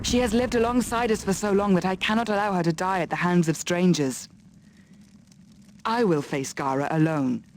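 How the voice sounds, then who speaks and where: Proud, measured warrior woman played by an actress. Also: fairly low pitch, darkish tone, a little hiss or hum, lightly acted. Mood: solemn, resolute. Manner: measured, formal, resolute. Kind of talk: video game fantasy acting.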